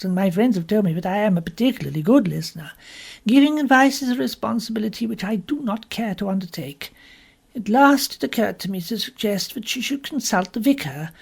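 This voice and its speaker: A man voicing a prim, gossipy spinster who narrates an audiobook story in fussy, measured asides. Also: high voice, a little mellow, slightly rough, full character voice. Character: prim, gossipy English village spinster telling her story. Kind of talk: first-person audiobook narration.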